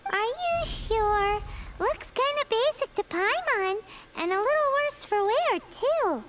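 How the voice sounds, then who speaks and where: A woman voicing a tiny, childlike fairy companion in a video game, squeaky, blunt and skeptical. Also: animated, slow pace, heavily acted. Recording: loud game music behind.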